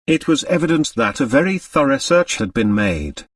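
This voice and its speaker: A man's voice: medium pitch, very animated, normal speed, no echo, clean studio sound, far from the mic. A man reading a novel aloud as a scripted audiobook narration.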